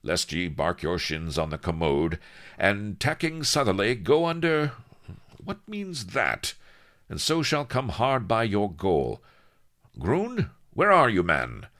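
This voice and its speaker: A man voicing a prim gentleman pirate captain in an audiobook, with pompous, archaic seafaring diction. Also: lowish voice, wildly swooping pitch, takes it slowly, pitched above the performer's own voice, full character voice. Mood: urgent, rasping.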